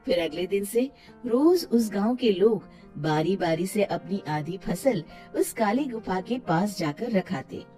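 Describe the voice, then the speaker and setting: Woman narrating a cartoon story, lively sing-songy delivery, warm mellow voice. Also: mid-range voice, unhurried, faint music behind. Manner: expressive storytelling.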